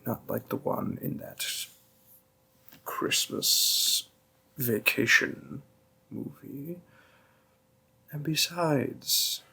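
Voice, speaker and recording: A man playing a doting demon boyfriend in an intimate roleplay audio, speaking softly to the listener with a husky, gravelly voice and lingering pauses. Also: mid-range voice, exaggerated voice. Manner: slow, deliberate, hushed, with lingering pauses. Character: doting, gentle male demon boyfriend, coaxing and protective.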